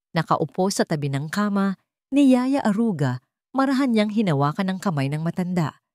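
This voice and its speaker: Animated woman narrating a dramatic story. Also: fairly low pitch, no echo, clean studio sound.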